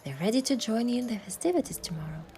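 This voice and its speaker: A woman voicing a playful, tender young heroine who narrates her own romance in a game with soft, lyrical storytelling. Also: on the high side, animated, fast, a little hiss or hum, lightly acted. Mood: dreamy. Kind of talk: scripted first-person narration and dialogue in romance game. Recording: music behind the voice.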